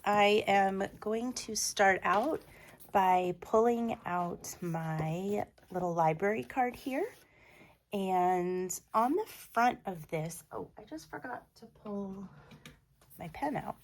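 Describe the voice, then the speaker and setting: A woman with a stuffy head cold, a teacher chatting casually about her reading journal, with frequent ums and restarts. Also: medium pitch. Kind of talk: casual chat and voiceover. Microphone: voiceover mic.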